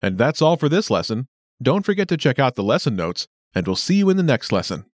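A man hosting a scripted language lesson with clear, upbeat announcer delivery. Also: medium pitch, big pitch swings, fairly quick, no echo, clean studio sound. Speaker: lesson host.

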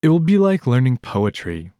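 A man with an American accent hosting a scripted language lesson podcast in an upbeat, expressive way. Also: medium pitch, moderate pace, no room sound, clean studio sound. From United States. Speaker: podcast host.

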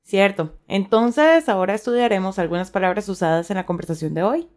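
A woman's voice: medium pitch, fairly quick, a little mellow. A woman co-hosting a language lesson, presenting words and example sentences.

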